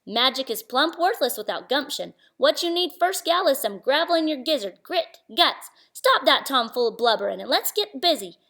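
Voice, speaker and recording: A no-nonsense old cowgirl fairy godmother, put on by a woman reading a storybook aloud, barking orders in a folksy Western drawl. Her voice rides high and swings all over the place, loud and bossy. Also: big pitch swings, normal speed, hardly any pauses, heavily acted.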